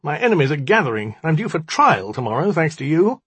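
A man's voice: on the high side, big pitch swings, normal speed, breathy edge, dry recording, pitched above the performer's own voice. A man voicing a bitter, self-pitying young magician, haughty and accusing, as audiobook dialogue. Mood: bitter, aggrieved.

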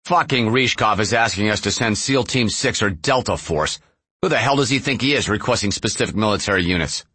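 A man's voice: fairly low pitch, fairly quick, a bit bright, husky, dry recording, pitched above the performer's own voice. A man voicing an exasperated, blunt American president in an audiobook thriller. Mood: indignant.

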